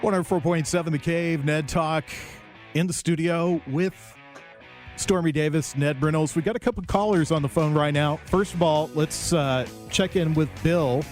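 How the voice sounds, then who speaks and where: A man's voice: a little high, normal speed, darkish tone, soft background music. A man co-hosting a sports radio show, speaking candidly and conversationally as he gives his views and takes calls. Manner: candid, conversational, laughs easily. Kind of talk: casual sports talk radio. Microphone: studio microphone.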